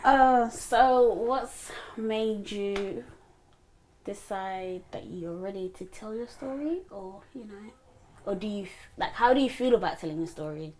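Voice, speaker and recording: A woman's voice: mid-range voice, unhurried, a little mellow, slight background noise. A woman hosting a casual interview, asking questions in a friendly, teasing way. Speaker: host.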